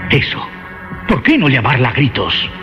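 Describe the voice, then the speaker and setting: A man narrating a horror radio play in a theatrical, suspenseful way, with emphatic phrasing and dramatic pauses. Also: medium pitch, huge pitch swings. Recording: dull lo-fi audio under music. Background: dramatic music.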